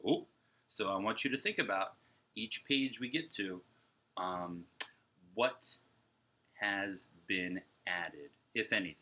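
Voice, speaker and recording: Young man, children's teacher. Husky, bright-edged voice, explanatory read-aloud lesson delivery. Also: on the low side, takes it slowly, long pauses. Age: young adult.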